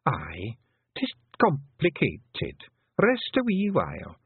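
A man voicing a tiny, proud fairy woman with a Scottish accent, speaking in a high, lilting, measured way. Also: wildly swooping pitch, creaky, husky, dry recording, heavily acted. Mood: solemn. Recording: tinny, phone-like lo-fi audio.

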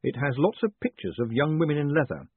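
A man's voice: fairly high pitch, very animated, fast talker, husky, no room sound, pitched above the performer's own voice. Man as a coy con man, phone-like sound. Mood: mild curiosity. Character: smooth male con man feigning innocent enthusiasm. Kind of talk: audiobook character acting. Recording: muffled narrow phone-like sound.

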